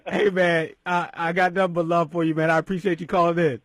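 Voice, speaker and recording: Middle-aged male host, slightly higher voice, cheerful and stumbling, dry studio mic. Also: flat delivery, fast, fairly bright tone, no room sound. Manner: upbeat, laughs a lot, stumbles and repeats words. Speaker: radio show host. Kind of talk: casual radio talk show hosting. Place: radio studio.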